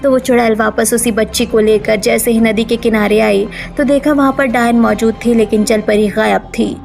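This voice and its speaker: High, creaky-voiced woman storyteller. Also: fairly even intonation, moderate pace, darkish tone, soft background music. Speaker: story narrator. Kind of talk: scripted cartoon storytelling narration.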